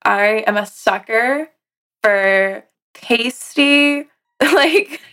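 A woman's voice: fairly high pitch, drawn-out words, bright, forceful tone. A woman co-hosting a podcast in a casual group chat, with some noise on the recording. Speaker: podcast co-host. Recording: noticeable background noise.

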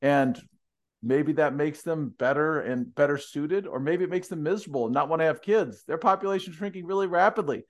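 A man's voice: on the high side, brisk. A man co-hosting a casual discussion, with a big voice and a dry, wry humor. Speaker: podcast co-host.

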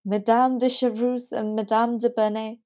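A woman's voice: fairly high pitch, level tone, moderate pace, dark tone, lightly acted. A woman playing a proud, persecuted queen in a period audio drama, with formal, theatrical diction. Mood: mournful.

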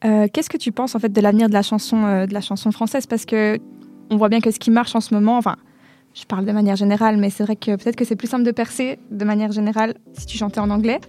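A woman's voice: medium pitch, little pitch movement, fast talker, dark tone, soft background music. A woman interviewing a guest on the radio, chatty, enthusiastic and teasing. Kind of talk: casual interview.

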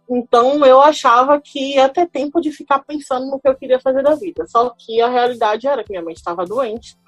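A woman in her thirties, slightly high-voiced, tells her personal story as a podcast guest. She speaks thoughtfully, pausing and restarting often. The sound is very dry and close, with faint music underneath. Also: no echo. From Salvador, Bahia, Brazil. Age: 30s. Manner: reflective, hesitant with many fillers and restarts. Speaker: guest lawyer.

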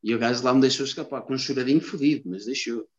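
A Lisbon-accented man in loose, rambling chat, heavy with slang and swearing. His voice sits at an ordinary pitch. The recording is dull and lo-fi. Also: fast talker. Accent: Lisbon Portuguese. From Lisbon, Portugal. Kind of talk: casual chat. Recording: dull lo-fi sound.

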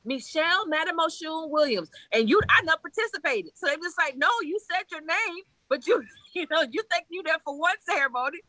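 A woman's voice: very high-pitched, lively intonation, a little fast, hardly any pauses, bright, forceful tone, dry recording. A woman from Haiti, a priestess, talking bluntly and animatedly in a casual conversation, laughing often and swearing freely. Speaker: Ifa priestess guest.

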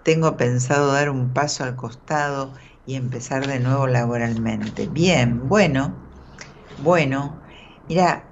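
Low-fi Argentine woman hosting call-in radio. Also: measured pace, a little hiss or hum. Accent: Argentine Spanish. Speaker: radio host and tarot reader. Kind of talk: live call-in advice chat. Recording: dull, band-limited audio.

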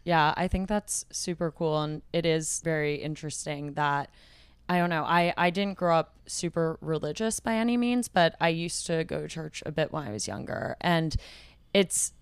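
Woman, twenties, podcast host, smooth low voice, relaxed casual talk. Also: moderate pace, no room sound. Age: 20s. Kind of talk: casual chat.

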